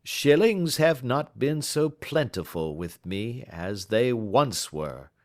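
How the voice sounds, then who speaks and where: Man acting a pompous, careful older gentleman, pitched up. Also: very animated. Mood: rueful. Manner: slow staccato, careful word choice, pompous. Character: large, learned, down-on-his-luck older man, courteous and grateful. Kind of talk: audiobook character acting.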